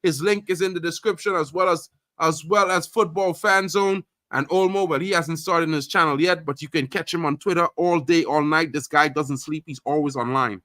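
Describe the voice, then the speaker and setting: A man from Trinidad hosts a casual live football stream, talking loudly and excitedly with repeated catchphrases. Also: a little high, normal speed, hardly any pauses, no echo. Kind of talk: casual live sports chat.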